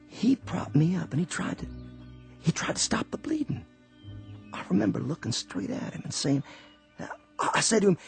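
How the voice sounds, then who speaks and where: A man playing a wounded sergeant, earnest and halting, in a radio drama. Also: fairly high pitch, breathy edge, exaggerated voice. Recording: drama with faint music underneath.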